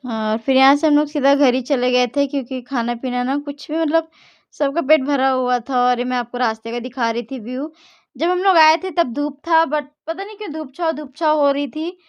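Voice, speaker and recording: A woman's voice: high voice, fairly even intonation, moderate pace, dry recording, lo-fi sound. A young woman, chatting casually through her vlog and often correcting herself mid-sentence. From India. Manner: chatty, rambling, frequent self-corrections. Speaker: vlogger.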